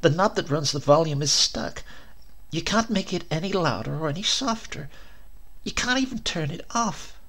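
Man as worn-down housewife, complaining, resigned, lifted pitch, lively swings, storybook reading. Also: normal speed. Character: tired, fretful housewife, worn down by heat. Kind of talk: dramatised short-story reading.

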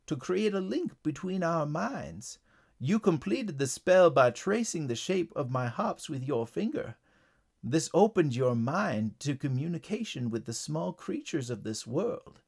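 A man voicing a mysterious, wise poison dart frog in a children's story, explaining in a measured manner. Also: on the high side, a little mellow, breathy edge, exaggerated voice.

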